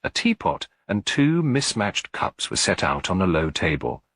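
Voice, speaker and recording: Deep, husky male storyteller. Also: wildly swooping pitch, a little slow, a bit bright, no echo, clean studio sound. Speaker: audiobook narrator. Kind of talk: scripted story narration of a cozy mystery.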